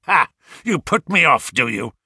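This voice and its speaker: A man voicing a furious, menacing old doctor villain who snarls and shouts threats in an audiobook story. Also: on the high side, very animated, unhurried, breathy edge, dry recording, studio quality, full character voice.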